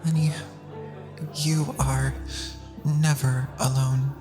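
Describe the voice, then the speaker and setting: Woman playing a low-voiced, kindly grandmother. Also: level tone, drawn-out words, heavily acted. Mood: tender, concerned. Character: kindly, concerned grandmother, mother of grown daughter. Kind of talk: audio drama dialogue. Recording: echoing room, loud music underneath.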